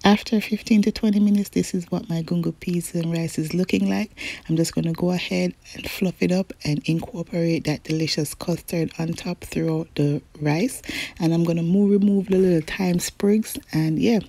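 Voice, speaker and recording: A young woman, a cooking channel host chatting viewers through a recipe in a relaxed, friendly voice-over. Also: on the low side, normal speed. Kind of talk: cook-along voice-over narration. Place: home kitchen.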